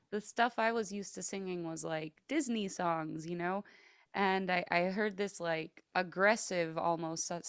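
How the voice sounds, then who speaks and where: A chatty woman singer in a relaxed interview, her voice sitting a little low for a woman. She leans on plenty of fillers and quick laughs. The sound is dry and close, with no room around it. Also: clear, resonant tone, dry recording. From Greece and the United States. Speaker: metal singer, guest. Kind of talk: casual interview chat.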